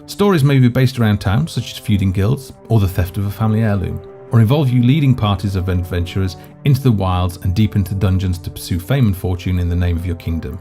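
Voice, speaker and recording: A man presenting a video game in scripted walkthrough narration, measured and explanatory. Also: fairly low pitch, warm tone. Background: background music.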